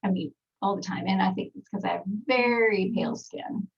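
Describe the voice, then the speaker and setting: A woman's voice: medium pitch, normal speed, gravelly, husky, distant mic, lo-fi sound. A woman in her forties with a Texas accent, presenting a casual online skincare talk in a chatty way with many fillers, from a small home studio. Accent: Texan. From Texas, United States. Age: 40s. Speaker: skincare trainer presenting a webinar.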